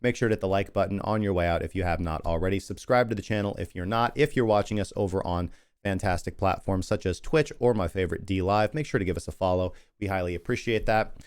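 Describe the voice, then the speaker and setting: A man's voice: low voice, fast talker, clean tone, no echo, studio quality. A man hosting a crypto livestream, chatty and rambling as he talks through charts and thanks viewers, losing his voice. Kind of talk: casual market analysis and sign-off.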